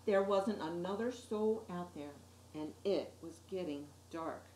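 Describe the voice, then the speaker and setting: A woman reading a children's picture book aloud as an expressive storyteller, with little asides to her listeners. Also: fairly low pitch, unhurried, a little hiss or hum. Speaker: story time reader. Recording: echoey room.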